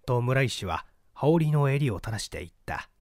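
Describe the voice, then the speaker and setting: A man narrates literary mystery fiction like a stage actor. His voice is medium in pitch with lively, wide-moving intonation. The recording is dry, free of room sound. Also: normal speed, no room sound. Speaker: audiobook reader and stage actor. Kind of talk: dramatic scripted literary narration.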